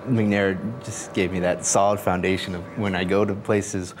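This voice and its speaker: A young man from Colorado, a graduate student, talking in a relaxed, easygoing way in a group interview. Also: low-pitched, normal speed, noisy background.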